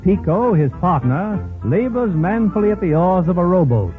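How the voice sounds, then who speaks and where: A man delivering measured, mock-dramatic scripted narration as a 1940s radio announcer. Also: high voice, big pitch swings. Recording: tinny old radio recording with music.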